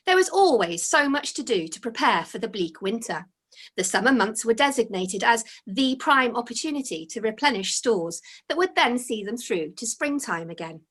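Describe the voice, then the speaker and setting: A woman reading her own children's story aloud as its author, in an expressive storytelling style. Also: on the high side.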